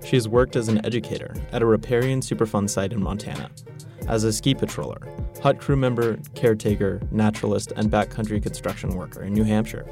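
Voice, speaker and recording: A man's voice: fairly low pitch, steady tone, a little fast, creaky, over music. A young man, co-hosting a student interview, who reads a formal scripted introduction of the guest and then asks her questions. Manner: formal, listing credentials.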